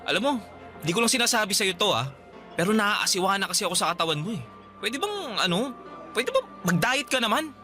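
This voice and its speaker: A scornful young boyfriend in a radio drama, with a high voice and a bright edge. He is blunt and mocking and rattles his lines off quickly. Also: big pitch swings, soft background music, heavily acted. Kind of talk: Tagalog radio drama acting.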